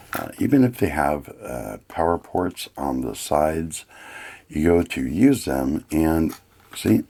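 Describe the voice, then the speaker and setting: A man with a gravelly, husky voice giving a casual, plain-spoken hands-on product review. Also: a bass voice.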